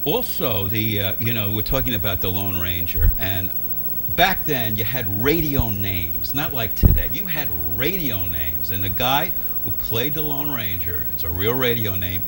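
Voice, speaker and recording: A man's voice: fairly low pitch, very animated, moderate pace, over music, poor audio. A man hosting a casual interview, chatty and keenly interested as he puts questions and remarks to his guest. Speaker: interview host.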